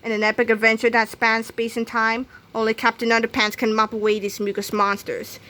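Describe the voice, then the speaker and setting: A woman's voice: a little high, completely flat delivery, brisk, pushed and bright. A woman reading a children's book aloud as scripted narration. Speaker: storybook reader.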